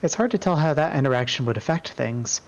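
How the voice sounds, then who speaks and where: A man's voice: a little high, animated, fast, creaky, dull audio. A man voicing a cautious, tactical female spellcaster with a hesitant, analytical manner in an amateur dramatic reading. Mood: thoughtful.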